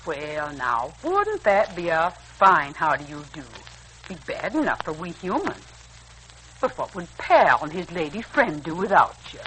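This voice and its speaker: A woman's voice: on the low side, sing-song, unhurried, a bit bright. A woman playing a folksy, good-natured housekeeper in a 1940s radio serial. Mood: folksy scolding. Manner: folksy, homespun phrasing. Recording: noisy old 1940s radio recording.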